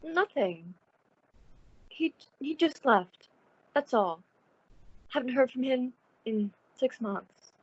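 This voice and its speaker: Actress as high, swooping naive radio heroine. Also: female voice, expressive, slow, pauses often, darkish tone, dull audio, exaggerated voice. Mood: breezy, reassuring. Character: pretty, naive, nervous woman, sociable and placating. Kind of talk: old-time radio suspense acting.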